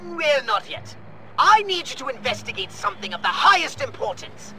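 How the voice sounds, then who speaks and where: A pompous alien commander, high and piercingly bright with swooping, animated delivery. He talks down to everyone with haughty theatrical flair. Faint game music plays underneath. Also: moderate pace, gravelly, full character voice. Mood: impatient. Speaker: superior officer.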